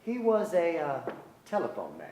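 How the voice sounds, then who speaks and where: An actor playing a wry, poetic man narrating his family's story in a theatrical stage monologue with deliberate pauses. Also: a little high, wildly swooping pitch, a little mellow. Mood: wry, wistful. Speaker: actor in a staged play reading. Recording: echoey theater stage, noticeable noise.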